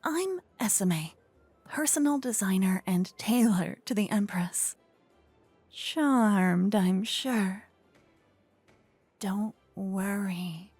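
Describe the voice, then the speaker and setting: A woman playing a flirtatious, witty royal tailor in a scripted fantasy ASMR roleplay, breathy and often whispering, with a teasing, refined, gossipy manner, close to a binaural microphone. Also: mid-range voice, animated, drawn-out words, pauses often, a little mellow, no echo, soft background music, heavily acted. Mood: teasing, confident.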